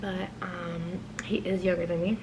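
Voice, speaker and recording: A woman's voice: fairly low pitch, level tone, unhurried, gravelly, noisy background. A woman in her twenties from New York hosting a casual couples Q&A, chatty and playful, swearing freely. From New York, raised in New Jersey. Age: 20s. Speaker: channel host.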